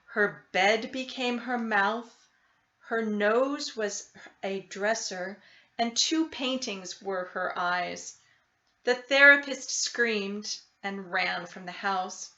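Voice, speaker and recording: A woman reading a children's story aloud with expressive narration, in a slightly dull recording. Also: medium pitch, takes it slowly. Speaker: storybook reader.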